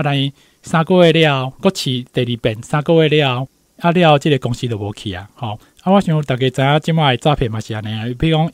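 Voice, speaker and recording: A man's voice: mid-range voice, no echo. A man with a Taiwanese accent gives informal commentary, retelling and explaining a story with frequent tag particles at the ends of phrases. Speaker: guest commentator, university professor.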